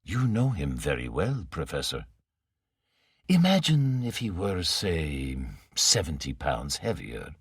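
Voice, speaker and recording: Hushed, deep, unhurried menace, a man reading the villain. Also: huge pitch swings, slightly rough, no echo, studio quality, full character voice. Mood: mild menace. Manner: mild, low, slow and quiet. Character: cold, sinister mastermind, calm and menacing.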